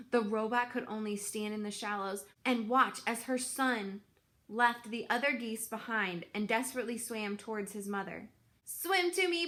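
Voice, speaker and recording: A woman reading a children's book aloud in an expressive, deliberate, dramatic storytelling style. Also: a little high.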